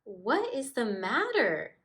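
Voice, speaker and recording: Storybook role: woman as calm, caring male skunk, gentle, melodic delivery. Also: on the high side, slow, pitched above the performer's own voice, full character voice. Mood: calm concern. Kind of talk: children's storybook character voice.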